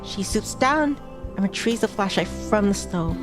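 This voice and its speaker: A woman's voice: mid-range voice, animated, unhurried. A woman streamer reading a visual novel aloud, with casual, chatty asides between passages. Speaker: VTuber streamer. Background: background music.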